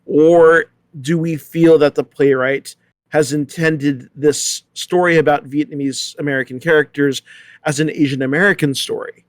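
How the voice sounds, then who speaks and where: Man, slightly high voice, casual discussion, thoughtful, circling delivery, very dry close sound. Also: steady tone, normal speed, no room sound. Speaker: theater company leader on a panel.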